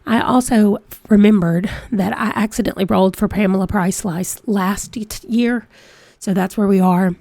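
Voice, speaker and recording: A woman's voice: medium pitch, steady tone, normal speed, creaky, warm tone, dry recording. A woman hosting a let's play, chatty and reading out dice rolls, her voice congested from a cold. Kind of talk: casual game commentary.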